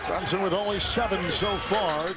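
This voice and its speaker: Male play-by-play sportscaster, high voice, live basketball commentary. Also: normal speed. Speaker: play-by-play announcer. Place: courtside at an arena.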